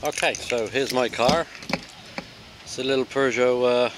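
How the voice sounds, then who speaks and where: A man's voice: medium pitch, fairly even intonation, unhurried, noisy background. A man presenting a casual gadget field test in a hesitant, off-the-cuff way.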